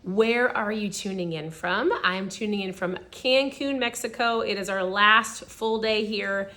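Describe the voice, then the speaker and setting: A woman in her forties hosting a casual live stream, a little hoarse and raspy, chatting upbeat and straight to her viewers. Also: mid-range voice, measured pace, pushed and bright. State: hoarse from screaming. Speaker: host of a live video.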